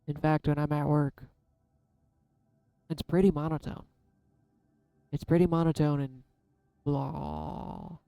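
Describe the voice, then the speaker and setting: Man, tarot reader, soft-spoken, smooth dark tone, candid vlog delivery, faint music underneath. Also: a little high, little pitch movement, slow, pauses often, no room sound, clean studio sound. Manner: candid, self-deprecating, musing aloud. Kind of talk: casual reflective vlog.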